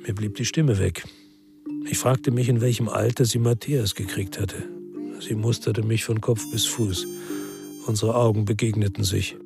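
A man's voice: fairly low pitch, fairly even intonation, moderate pace, gravelly, music in the background. An actor playing a world-weary ex-policeman who narrates a radio crime drama in a brooding, reflective way.

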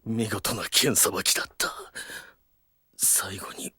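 A man's voice: lowish voice, measured pace, husky, no echo, whispered, clean studio sound, exaggerated voice. An actor playing a proud, battle-hungry mercenary swordsman in an audio drama, speaking in an intense, declamatory way. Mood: dying, satisfied. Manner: intense, declamatory, with combat shouts. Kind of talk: theatrical Japanese audio-drama acting.

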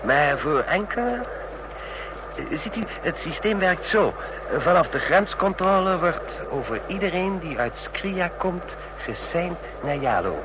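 An actor in a Dutch radio drama playing a chatty, smug train passenger obsessed with spy stories, talking in a knowing, needling way. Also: male voice, animated, measured pace. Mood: knowing, sly. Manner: talkative, knowing, needling with rhetorical questions. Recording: noisy radio play with vehicle rumble.

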